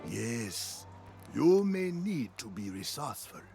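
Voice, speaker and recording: An actor playing a grave, wise old mentor in a fantasy game, speaking in a measured, solemn way with deliberate pauses. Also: male voice, medium pitch, very animated, creaky, dark tone, full character voice. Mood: instructive. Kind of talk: dramatic fantasy game voice acting. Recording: music behind the voice. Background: game music.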